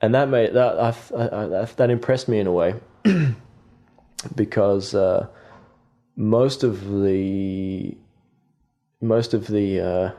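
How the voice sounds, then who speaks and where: An Australian man speaking in a low, mellow voice. He thinks aloud in a relaxed podcast chat, pausing often on ums and restarting his sentences. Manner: hesitant, many fillers and restarts. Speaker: guest artist. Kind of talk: reflective podcast conversation.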